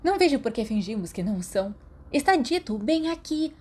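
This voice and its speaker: A woman's voice: a little high, sing-song, a little fast, darkish tone, husky, pitched above the performer's own voice. A woman with a Brazilian Portuguese accent voicing a blunt, stubborn young witch student who talks in an insistent whisper.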